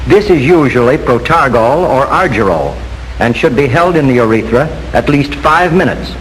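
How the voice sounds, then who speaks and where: An American man reads a scripted 1940s instructional film narration in a formal, measured, instructive manner. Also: mid-range voice, animated. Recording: noisy 1940s film soundtrack with hiss.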